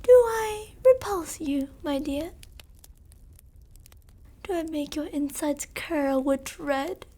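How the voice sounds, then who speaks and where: A woman's voice: high voice, mellow. A woman playing a sadistic, possessive vampire noblewoman in an ASMR roleplay, teasing and archly courteous, at times slipping toward a whisper. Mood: mock-hurt, playful. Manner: slow, teasing, mock-courteous, archly formal, drifting into whispers. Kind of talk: ASMR roleplay, intimate scripted monologue.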